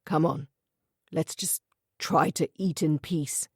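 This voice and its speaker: A woman's voice: low voice, slow pace, darkish tone, slightly rough, dry recording, clean studio sound, exaggerated voice. A woman reading an audiobook, voicing a grieving, stubborn man who speaks in tight, clipped phrases. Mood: firm. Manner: tight, clipped, holding back emotion.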